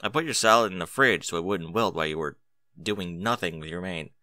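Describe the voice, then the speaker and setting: A man's voice: low voice, brisk, bright, forceful tone, no room sound, pitched below the performer's own voice. A man voicing a young male dragon sidekick in an audiobook story, casual and sarcastic. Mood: sardonic.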